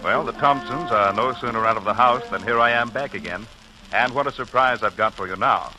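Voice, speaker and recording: A man's voice: mid-range voice, a little fast, music in the background, low-quality recording. A man hosting a scripted 1940s radio broadcast as a folksy, showmanlike announcer. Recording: hissy 1940s radio recording.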